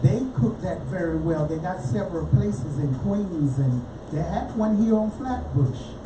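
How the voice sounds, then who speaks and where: A woman's voice: on the low side, animated, unhurried, noisy background. Chatty woman hosting community radio, roomy. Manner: chatty, loud announcing, nonstandard grammar. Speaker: radio host. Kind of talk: casual radio interview. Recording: echoey room, mic far off, music behind.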